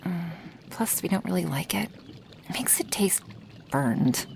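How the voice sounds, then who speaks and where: A woman voicing an affectionate female dragon who brews potions, in a soft, intimate ASMR roleplay with breathy half-whispers and sighs. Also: on the low side, lively intonation, a little slow, a little hiss or hum. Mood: casual, explaining. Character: affectionate female dragon, a potion maker tending her human guest.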